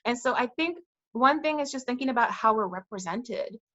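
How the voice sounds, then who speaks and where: A woman voicing a friendly female sphinx guardian in an improvised kids' interview, speaking in a relaxed, conversational way with frequent "um"s and "like"s over a video call. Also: on the high side, fairly quick, highs cut off. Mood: calm thoughtful. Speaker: interview guest. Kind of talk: improvised role-play for kids.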